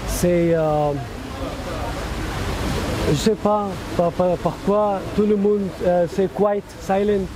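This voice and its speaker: A man in his twenties from Afghanistan, with an Afghan accent, giving earnest testimony and halting as he searches for words. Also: sits high, fairly even intonation. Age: 20s. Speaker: interviewee at a press conference. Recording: clear outdoor ambient noise.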